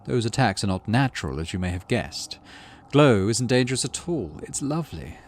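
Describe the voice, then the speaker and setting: A man voicing a calm, teasing, cryptic alien forest guide in a visual novel game, with a wry, deliberate manner. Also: mid-range voice, slight background noise.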